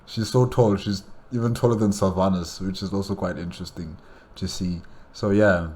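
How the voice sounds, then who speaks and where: Man, deep voice, casual reaction host, halting, repetitive rambling. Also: fairly even intonation, a little mellow. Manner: rambling, halting, repeats phrases.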